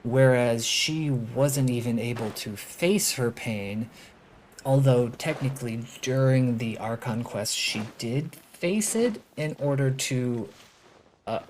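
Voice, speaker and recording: A video game streamer giving casual live commentary, rambling and thinking out loud, with opinionated, sarcastic asides. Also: mid-range voice, takes it slowly, slight background noise, poor audio. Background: game music.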